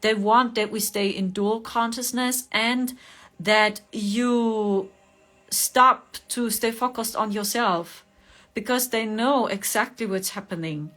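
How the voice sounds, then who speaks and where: A woman's voice: a little high, steady tone, slow pace, fairly bright tone. German-accented woman hosting a spiritual livestream. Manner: chatty, greets viewers, frequent laughter and fillers. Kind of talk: casual livestream chat.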